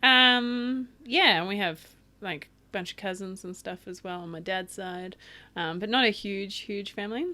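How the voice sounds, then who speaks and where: A woman's voice: medium pitch, moderate pace, sharp tone. Young Australian woman guest, casual and giggly, filler-heavy chat. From Sydney, Australia. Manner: chatty, laughs often, many fillers like "like" and "um".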